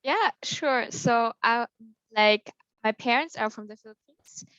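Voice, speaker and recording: A woman's voice: fairly high pitch, measured pace, a bit bright, no echo. A young woman from Austria, a guest on an interview, talking fluently and upbeat with many fillers. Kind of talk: casual interview. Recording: slightly dull, lo-fi call audio.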